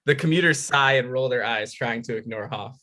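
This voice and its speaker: A man's voice: mid-range voice, brisk, bright, forceful tone. A man reading stage directions as narrator at a script table read.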